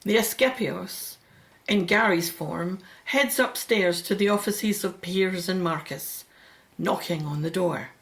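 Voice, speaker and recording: A woman's voice: on the low side, slow, fairly bright tone. A woman narrating a radio thriller as a neutral storyteller, in scripted present-tense scene description.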